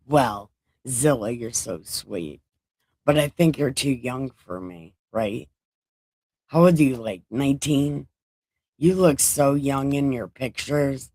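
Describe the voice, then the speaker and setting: A woman's voice: extremely low pitch, warm tone, no echo. A woman around forty who reads scripture to a live chat in a casual way, with profane, joking asides between passages. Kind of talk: casual read-along chat.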